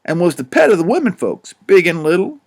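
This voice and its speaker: A man's voice: sits high, sing-song, fairly quick, a little mellow, exaggerated voice. A man voicing an old bald male con man and preacher with a folksy backwoods drawl in an audiobook. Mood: boastful. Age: older. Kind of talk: audiobook character voice.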